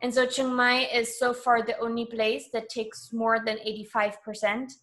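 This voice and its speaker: Higher-voiced woman from Germany, chatting. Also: flat delivery, moderate pace, a bit bright. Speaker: interview guest. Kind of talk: casual conversational interview.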